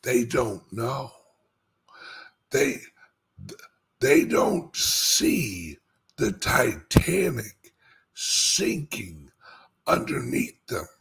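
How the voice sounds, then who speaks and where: Very slow-talking raspy man. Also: mid-range voice, very animated, a bit bright. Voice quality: speech impediment. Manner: emphatic, repeats phrases with dramatic pauses. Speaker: cryptocurrency commentator and show host. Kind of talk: informal monologue commentary to followers.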